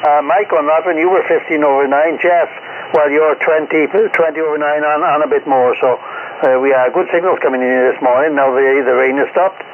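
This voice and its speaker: A man's voice: fairly high pitch, fairly even intonation, fairly quick. A man giving casual reports as an amateur radio operator, heard over shortwave radio. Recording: tinny, phone-like shortwave radio with static.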